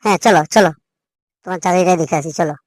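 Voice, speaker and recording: A woman's voice: lowish voice, fast, a little mellow, no room sound, clean studio sound, close to an everyday voice. A woman voicing a cheeky, foul-mouthed young son in a comic cartoon, whiny and insolent, with a West Bengal accent. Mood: eager.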